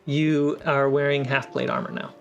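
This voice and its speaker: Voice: mid-range voice, little pitch movement, normal speed, highs cut off, soft background music. A game master narrating a tabletop role-playing game in casual, descriptive phrases.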